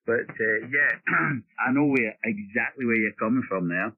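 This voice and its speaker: An older man chatting over amateur radio, rambling and halting with long hesitations. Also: medium pitch, breathy edge. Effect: radio transmission. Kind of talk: casual radio chat. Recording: narrow, muffled ham radio signal.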